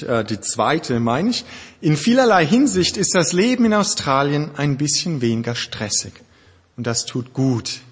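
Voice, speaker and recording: A man preaches in a relaxed, conversational manner, stopping now and then to search for words. His voice is slightly husky. A long reverb trails behind him, as in a large church. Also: mid-range voice. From Germany. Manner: thoughtful, conversational preaching with frequent hesitations. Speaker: pastor. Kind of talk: sermon.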